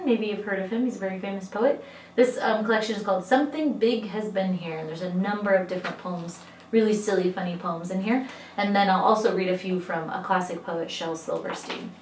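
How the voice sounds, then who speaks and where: A woman teacher reading poems aloud to children, with expressive, clearly phrased delivery that addresses young listeners directly. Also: mid-range voice, slight background noise. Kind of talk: read-aloud of children's poetry. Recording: roomy echo, distant microphone.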